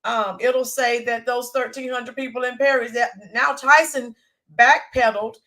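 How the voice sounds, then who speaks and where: Woman host, middle-aged, raspy from allergies, fairly high-pitched, loose rambling live chat. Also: fairly even intonation, moderate pace, sharp tone, low-quality recording. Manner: rambling, greets chat viewers by name. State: allergy-roughened voice. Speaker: livestream host. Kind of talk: casual livestream chat with viewers.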